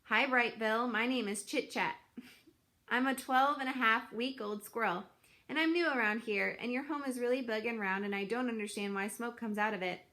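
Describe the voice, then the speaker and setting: A woman's voice: fairly high pitch, moderate pace, pushed and bright. A woman voicing a chatty, eager young female squirrel who rambles on in run-on sentences in a storybook. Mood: excited.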